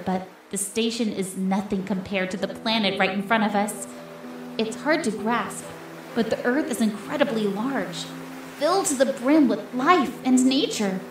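Woman as a gentle young girl, high soft voice, earnest, wistful, hopeful, cartoon comic dub, echoey, music behind. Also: natural voice. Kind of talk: animated comic dub acting. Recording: echoey voice under background music.

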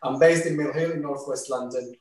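A man in his forties, originally from Italy, speaks in a friendly way, pausing on fillers. He stands back from the camera in his home kitchen, so his voice rings with heavy room echo under a faint hiss. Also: mid-range voice, steady tone. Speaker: cooking advisor, guest. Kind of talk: self-introduction on a video call. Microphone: camera at a distance. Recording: very echoey kitchen, far from the mic, light noise.

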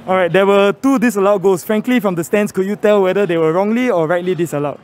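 A man's voice: high voice, fast. A young Singaporean man presenting casual post-match fan interviews and commentary, speaking into a handheld phone outdoors at a stadium entrance. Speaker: fan channel host and interviewer. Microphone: handheld phone held near mouth.

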